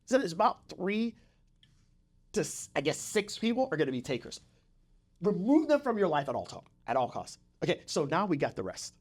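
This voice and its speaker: A man's voice: on the high side, huge pitch swings, normal speed, breathy edge. A man hosting a podcast in a conversational motivational style, repeating phrases for emphasis and often checking in with the listener. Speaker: podcast host.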